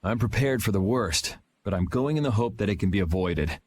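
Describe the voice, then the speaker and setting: An actor playing a calm, self-assured young swordsman in a video game, speaking bluntly. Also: fairly low pitch, a little fast, slight background noise, natural voice. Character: young male swordsman, cool and resolute.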